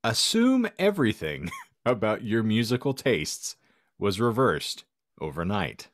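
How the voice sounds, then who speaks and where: A man's voice: on the low side, sing-song, measured pace, no echo, clean studio sound. A man hosting a podcast with wry, sarcastic asides, bantering casually and reading bits aloud. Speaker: podcast host.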